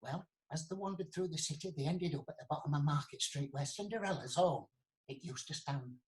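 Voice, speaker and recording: A man's voice: on the high side, brisk. A man telling a comic pantomime tale, dramatic and speaking straight to the listener. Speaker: storyteller. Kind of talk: theatrical comic pantomime storytelling.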